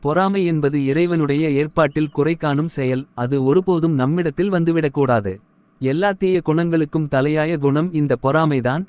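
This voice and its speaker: A man voicing a stern husband who moralizes in an animated family short. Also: a little high, dry recording, close to an everyday voice. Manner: moralizing, instructive. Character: stern, preachy husband admonishing his jealous wife.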